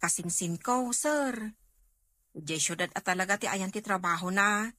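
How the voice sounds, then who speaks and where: A woman's voice: medium pitch, measured pace, sharp tone, dry recording. A woman with an Ilocano Filipino accent playing a cheerful, doting household helper in a radio drama. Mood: respectful, pleading.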